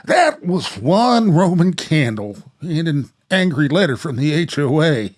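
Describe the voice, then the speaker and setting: A husky young grandson, voiced by a man, anxious and exasperated. His delivery stammers and swings wildly in pitch at a slow, hesitant pace, with radio play dramatics. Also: very animated. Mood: protesting.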